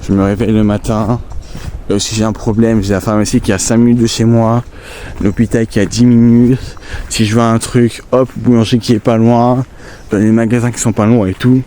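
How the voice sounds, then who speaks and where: A man with a blocked, stuffy nose talks in a chatty, rambling way, recorded outdoors on a handheld phone. His voice sits low and mellow. Faint wind and outdoor noise run behind him. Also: brisk. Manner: chatty, relaxed, rambling asides. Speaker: vlogger on a nature walk. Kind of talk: casual walking vlog to camera. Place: outdoors in the countryside.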